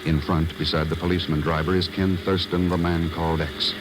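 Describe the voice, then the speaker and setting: A man announcing and narrating in a scripted 1940s radio style, with a measured, formal, polished delivery. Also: deep voice, little pitch movement, noisy background.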